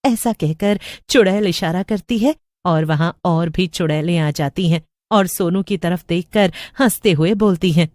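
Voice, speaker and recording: Woman narrator, scripted horror storytelling, unhurried pace, dry close recording. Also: lowish voice, a little mellow, dry recording.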